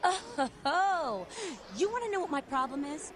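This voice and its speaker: A woman's voice: extremely high pitch, expressive, normal speed, a little hiss or hum. An actress playing a hot-headed, combative female vampire gang leader in a video game, brash and sardonic. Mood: confrontational, angry. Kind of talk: video game character acting.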